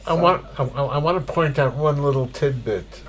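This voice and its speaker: A man's voice: on the high side, normal speed, a bit bright, slight background noise. A middle-aged man, the interviewee's son, adding family details in casual conversation with chatty restarts.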